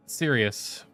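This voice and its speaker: Male game streamer over background music. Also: fairly bright tone. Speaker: video game livestreamer. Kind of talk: casual livestream talk, reading game text aloud. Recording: music playing behind the voice.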